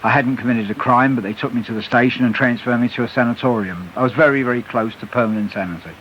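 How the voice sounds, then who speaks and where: A man's voice: medium pitch, quick pace. A middle-aged man giving candid personal testimony as a radio interview guest, speaking earnestly and repeating phrases for stress. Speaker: interview guest, author and recovered addict. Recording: muffled hissy shortwave-style radio broadcast.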